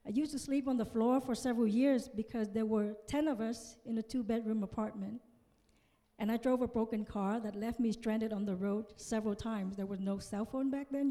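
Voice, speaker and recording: A woman's voice: on the high side, mellow. A woman in her fifties from Vietnam, a native Vietnamese speaker with a Vietnamese accent, giving an earnest motivational speech and addressing her audience directly. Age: 50s. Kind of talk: motivational conference speech with personal story. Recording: reverberant large hall, stage PA.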